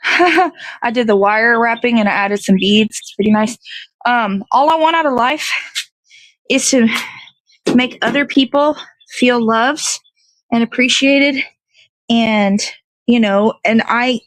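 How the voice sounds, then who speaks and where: A woman's voice: a little high, no room sound, rough recording. A woman hosting a casual livestream outdoors, chatting in a meandering way as she thinks aloud. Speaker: host of an online morning show. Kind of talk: casual rambling livestream chat. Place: outdoors in the cold.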